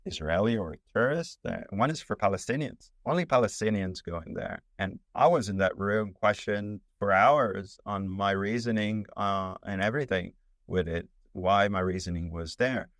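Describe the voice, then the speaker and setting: A man's voice: lowish voice, moderate pace, fairly bright tone, no echo, studio quality. A man from Italy whose first language is Italian, a documentary filmmaker telling a travel story in an interview, rambling with many fillers and restarts.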